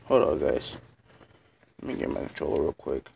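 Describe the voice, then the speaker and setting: A young man with a hoarse, sick morning voice, rambling casually to viewers in a phone vlog from his bedroom. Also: rumbling low, very animated, normal speed, darkish tone, a little hiss or hum. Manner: rambling, filler-heavy, addresses viewers as guys. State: hoarse from being sick and just woken up. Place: dim bedroom at home, indoors. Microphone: phone held in hand.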